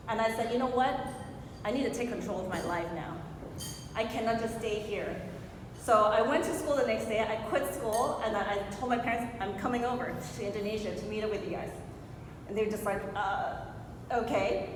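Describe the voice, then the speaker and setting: A woman in her forties from Hong Kong giving a motivational speech, telling a personal story and putting questions to her audience. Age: 40s. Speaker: motivational speaker. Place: large echoing room before an audience. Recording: very echoey hall with clear noise and rumble.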